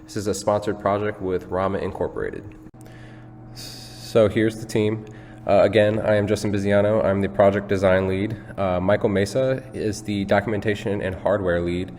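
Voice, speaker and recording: A young man with a fairly low voice presents a student project. The recording has long reverb and a faint hum behind him. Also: fairly even intonation, normal speed, darkish tone. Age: young adult. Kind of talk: prepared presentation and product demonstration. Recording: hollow hall reverb, background hum.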